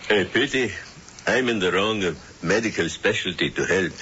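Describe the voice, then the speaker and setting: An actor playing a suave doctor who presides over a witches' coven, smooth and ceremonious, in a 1970s radio drama. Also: deep voice, moderate pace, bright, forceful tone, a little hiss or hum. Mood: unctuous. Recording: dull lo-fi old radio broadcast.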